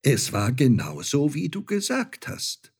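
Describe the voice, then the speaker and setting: A man playing a gentle old woodcutter. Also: mid-range voice, huge pitch swings, a little slow, slightly rough, pitched above the performer's own voice, heavily acted. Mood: earnest. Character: kindly older male woodcutter, reproachful but gentle.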